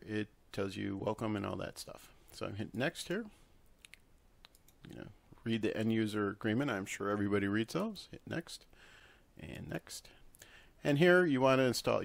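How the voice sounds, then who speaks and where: A man with a fairly low voice and plenty of vocal fry presents a software tutorial. He talks informally and instructs step by step, in the casual way of someone recording a screen walkthrough at his computer.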